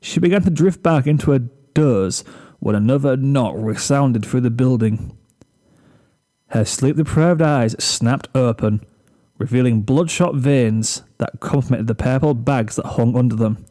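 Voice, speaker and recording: A man's voice: mid-range voice, measured pace, dark tone. A man reading a comic story aloud as narrator, in scripted narration.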